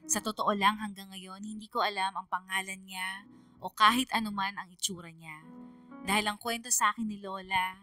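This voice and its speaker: A woman's voice: medium pitch, pushed and bright, natural voice. A woman playing a sorrowful young letter writer, narrating a radio drama in an earnest, confessional way with a Filipino accent. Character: sorrowful young female letter writer recounting family troubles. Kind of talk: scripted first-person radio drama narration.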